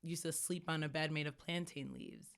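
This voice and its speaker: A woman's voice: low voice, moderate pace, creaky. A young woman from New York City, a guest talking earnestly in a casual interview, often slipping in quoted asides. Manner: earnest, animated, speaks in quoted asides and filler "like".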